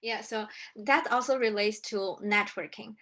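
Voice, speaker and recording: A woman's voice: medium pitch, moderate pace, a bit bright. A woman hosting an interview and asking her guests friendly questions. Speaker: host.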